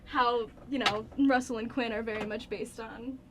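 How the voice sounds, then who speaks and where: A woman's voice: a little high, fairly even intonation, normal speed, a crowd in the background. A young woman co-hosting a radio show in casual, giggly studio chat.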